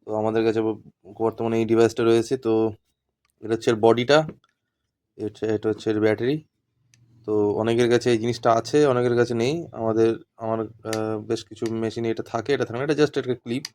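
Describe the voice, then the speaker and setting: A man's voice: mid-range voice, flat delivery, fast, a little mellow. A man giving an informal hands-on tutorial as an instructor, explaining step by step and repeating himself. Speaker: instructor demonstrating walkie-talkies.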